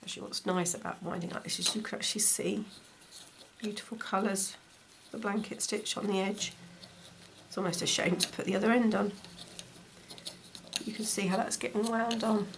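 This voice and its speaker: Croaky woman chatting through a craft demo. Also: mid-range voice, steady tone, moderate pace, rough recording. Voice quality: slightly gravelly. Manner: chatty, talks while stitching. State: croaky from a cold. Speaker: textile crafts teacher. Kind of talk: casual craft tutorial. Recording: faint appliance hum behind. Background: dishwasher running.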